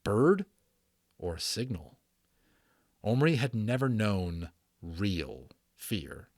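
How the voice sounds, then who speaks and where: A man reading a story aloud as a dramatic, expressive narrator, with casual explanations for listeners. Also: on the low side, very slow, dry recording, clean studio sound. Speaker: audiobook narrator and channel host.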